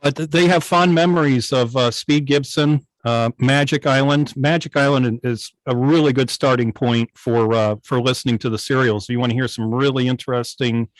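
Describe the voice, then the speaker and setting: Halting man, dry close sound. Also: medium pitch, steady tone, dry recording, low-quality recording. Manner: halting, searches for words. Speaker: guest, old-time radio station operator. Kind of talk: casual explanatory talk on a club video meeting. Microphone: video call.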